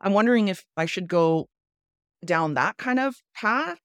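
A woman hosting a podcast, chatting casually in a low, animated voice. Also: a little slow, no room sound, studio quality. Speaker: podcast host.

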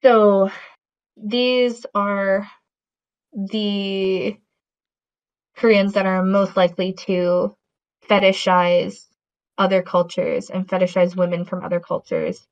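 A woman talks slowly in a relaxed chat, wandering through her points with plenty of ums and likes. Her pitch sits in the middle for a woman. The recording is muffled and lo-fi. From Latin American heritage. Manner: rambling, many fillers and restarts. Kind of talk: casual conversation. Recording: dull lo-fi audio.

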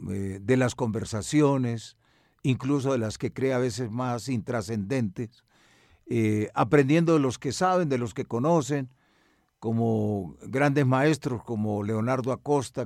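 An older man from Colombia with a Colombian accent answers interview questions reflectively, in measured, articulate and rather formal language. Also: medium pitch, darkish tone, dry recording, clean studio sound. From Medellín, Colombia. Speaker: cultural radio broadcaster and music researcher.

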